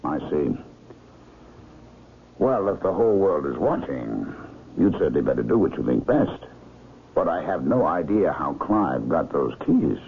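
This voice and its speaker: A loyal, wry doctor with a very low voice. He talks in a conversational 1970s radio drama style. The recording is muffled, with a faint hiss. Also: big pitch swings, a little slow, pauses often, exaggerated voice. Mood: wry, feigned innocence. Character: wry, loyal male doctor shielding an accused friend.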